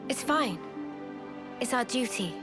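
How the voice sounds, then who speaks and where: Game role: young female soldier, measured and sincere, faint music underneath. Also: fairly high pitch, animated, heavy reverb, long reverb tail. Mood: gently reassuring. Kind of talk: video game cutscene acting.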